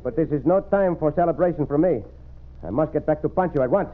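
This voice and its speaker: A man's voice: a little high, quick pace, over music, natural voice. A man playing a gallant, commanding yet courteous Mexican cowboy hero with a Mexican accent in an old-time radio western. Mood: courteous, warm. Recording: noisy, tinny old radio recording.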